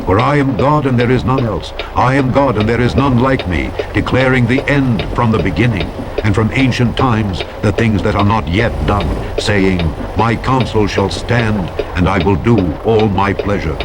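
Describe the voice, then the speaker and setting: A man with a fairly low voice reads scripture as a narrator. His delivery is solemn and declamatory, with measured, deliberate phrasing. Very loud vehicle and road noise runs behind him throughout. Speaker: audiobook narrator. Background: loud truck driving sounds from a video game.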